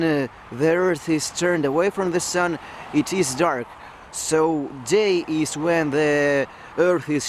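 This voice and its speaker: A man speaking English as a second language, explaining a science topic slowly with frequent pauses and fillers. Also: fairly high pitch, a little hiss or hum. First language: not English. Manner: slow, hesitant, with frequent fillers. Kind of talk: unscripted educational explanation.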